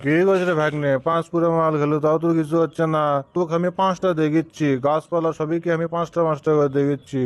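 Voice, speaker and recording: A cheeky cartoon layabout uncle, acted by a man, high-voiced and quick. He boasts and grumbles in colloquial banter with a northern Bangladeshi accent. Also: level tone, dry recording, lightly acted. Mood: stoned, defiant. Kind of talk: comic cartoon dialogue in rural dialect.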